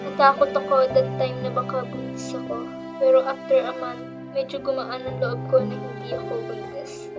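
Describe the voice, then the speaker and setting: A woman's voice: high voice, level tone, normal speed, dull audio, loud music behind the voice, natural voice. A woman with a Filipino accent playing a troubled, orphaned teenage girl who narrates her life in a radio drama. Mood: somber, reflective.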